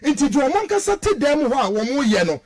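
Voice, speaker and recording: High-pitched, emphatic Ghanaian man. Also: very animated, fast, a little mellow. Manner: emphatic, rhetorical, repeats phrases for stress. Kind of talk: heated political commentary, mixing Twi and English.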